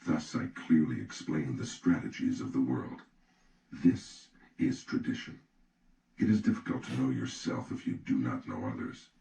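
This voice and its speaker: A man reading an old treatise as an audiobook narrator, in a measured, solemn, declarative manner. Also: rumbling low, very animated, vocal fry, warm tone. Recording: roomy, distant mic with faint noise.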